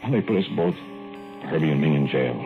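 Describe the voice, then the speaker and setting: A man's voice: extremely low pitch, animated, fairly quick. A man playing a creepy folksy fly-keeper. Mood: haunted. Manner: folksy, rambling, giggling menace. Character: creepy rural man who keeps a giant fly. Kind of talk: 1940s radio acting. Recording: tinny old radio broadcast with music.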